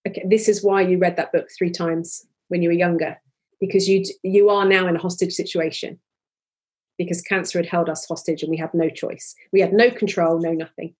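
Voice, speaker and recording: Husky woman, 40s, candid podcast guest, earnest and animated. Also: lowish voice, brisk. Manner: earnest, animated, many restarts. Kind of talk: candid conversational interview.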